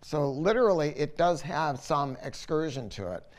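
A man's voice: a little high, animated, moderate pace. An older man presenting an informal product review to camera, conversational and opinionated, leaning on repeated words for emphasis. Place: listening room at home.

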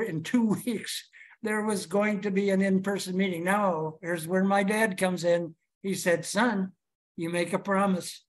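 A man from Chicago giving a prepared speech to his club over a video call, telling his story with rhetorical questions. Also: high voice. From Chicago, USA.

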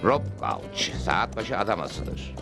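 A man playing a calm, good-natured lone cowboy hero in a cartoon dub. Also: sits low, slow, vocal fry, full character voice. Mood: composed. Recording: music under the voice.

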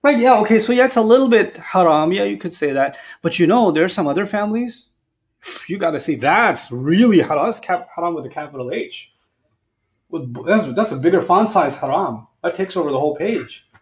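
This is a man around his forties with a notably high voice. He preaches like someone chatting across a table, animated and colloquial. He keeps tossing out rhetorical questions and slipping into acted-out asides. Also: brisk. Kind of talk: conversational sermon with acted-out asides. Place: indoors at a lectern. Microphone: lapel mic.

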